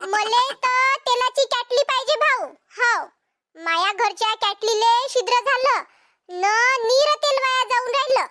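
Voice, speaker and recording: A woman's voice: very high-pitched, measured pace, pushed and bright, exaggerated voice. A woman voicing a nosy, wheedling village housewife in a cartoon comedy, with a Vidarbha Marathi accent. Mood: pleading, insistent. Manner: wheedling, badgering. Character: pleading, nosy village housewives haggling for pots.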